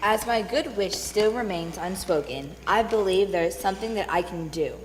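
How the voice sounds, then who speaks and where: Kindly stage fairy godmother type, female reader, measured and calm, mid-range, faintly noisy ringing hall. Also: medium pitch, natural voice. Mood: solemn reassurance. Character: kindly, calm wise woman bestowing magic gifts. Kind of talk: readers theatre stage reading. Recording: reverberant hall with faint noise.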